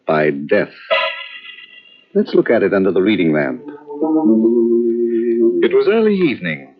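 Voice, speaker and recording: An actor playing a welcoming librarian who hosts a crime radio show and introduces its story. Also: male voice, on the low side. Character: genial male librarian host of a crime club. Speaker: host. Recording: muffled old radio broadcast with faint hiss.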